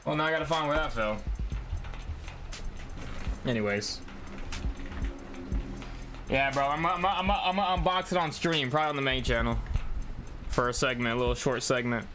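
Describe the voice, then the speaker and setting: A young man with a mid-pitched voice hosts a game stream. He talks loosely and casually in slang, chatting straight to his viewers, with faint music underneath. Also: expressive, moderate pace, pauses often, bright, forceful tone. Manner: slangy, addresses chat as bro and y'all. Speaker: live streamer playing games. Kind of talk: casual livestream chat while playing a game.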